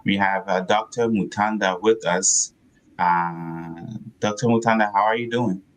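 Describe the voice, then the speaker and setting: Male co-host with a very low voice, casual and chatty on a live stream. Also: steady tone, unhurried, rough recording. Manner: loose and chatty, full of fillers.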